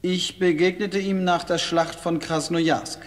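An actor playing a composed, deferential courier officer, reporting formally in a German audio drama. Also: male voice, high-pitched, level tone, a little slow. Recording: long hall reverb.

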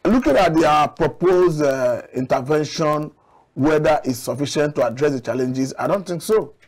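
A middle-aged man speaks as a guest analyst in a TV studio, his voice sitting somewhat high. He builds measured, argumentative points and sometimes restarts a phrase. It is picked up close on a lapel mic. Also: a little mellow. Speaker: public affairs analyst guest. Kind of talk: studio TV discussion. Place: TV studio set.

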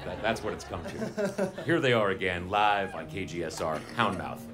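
A man's voice: low-pitched, lively intonation, moderate pace, loud music behind the voice. A man hosting a live radio interview on an outdoor festival stage, joking and teasing his guests. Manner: jokey, teasing banter. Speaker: radio host. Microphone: stand mic on stage. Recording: outdoor live broadcast with faint noise.

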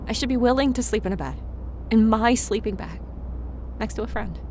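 A woman's voice: on the high side, brisk, a little mellow, a little hiss or hum, close to an everyday voice. A woman playing a stubborn, flustered young adult pony mare in an audio drama, speaking in an earnest, emphatic way. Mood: frustrated, defiant.

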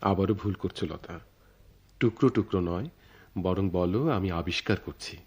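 Detached, fatalistic playwright for radio drama. Low register, deliberate theatrical delivery. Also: male voice, darkish tone, breathy edge. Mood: detached, philosophical. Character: brooding male playwright, philosophical, detached, fatalistic. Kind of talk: Bengali radio drama acting.

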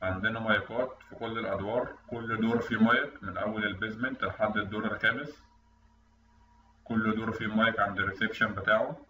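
A man's voice: lowish voice, fairly even intonation, fast talker, bright, forceful tone. A man with an Egyptian accent explaining technical material step by step as an online instructor. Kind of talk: tutorial explanation over screen recording. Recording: roomy, distant mic, dull, faint noise.

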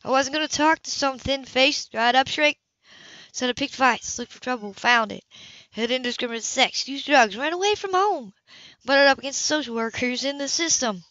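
A woman voicing a tough, wounded woman of twenty-eight in an audiobook, quietly and matter-of-factly recounting. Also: high voice, normal speed, no room sound. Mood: bitter, controlled. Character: tough, wounded 28-year-old woman, controlled and unflinching. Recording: slightly dull home recording.

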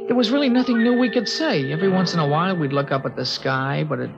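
A reflective teenage boy in a vintage radio play, his voice a touch high and plainly spoken. He narrates with a slight hesitancy, and the sound is dull and muffled like an old broadcast. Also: quick pace, creaky, music in the background. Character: earnest teenage boy narrating the last day. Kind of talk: 1950s radio drama acting and narration.

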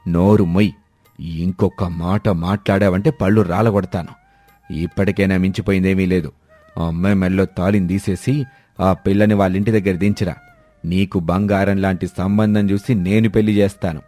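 Man voicing stern, domineering low-voiced old father. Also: little pitch movement, normal speed, mellow, faint music behind, lightly acted. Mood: outraged. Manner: scolding, commanding, threatening. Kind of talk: animated moral story acting.

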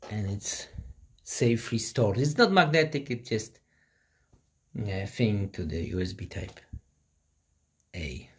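A man in his thirties, not a native English speaker, casually reviewing a laptop in plain, halting English and apologizing for his language. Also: fairly low pitch, a little mellow, echoey room. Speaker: tech reviewer. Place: hot room at home with a construction site nearby. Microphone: laptop built-in mic and camera. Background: buzzing construction noise.

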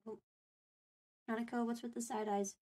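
A woman's voice: on the high side, little pitch movement, moderate pace, dark tone. A woman co-hosting a livestream, chatting casually and picking her words carefully and hesitantly. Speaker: streamer and co-host answering viewer questions.

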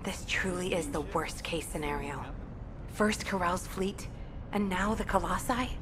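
A woman's voice: on the low side, over loud music, lightly acted. Woman acting a formal, composed military commander. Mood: grim, analytical. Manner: formal, measured. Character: composed, authoritative female military commander briefing troops. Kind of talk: video game briefing.

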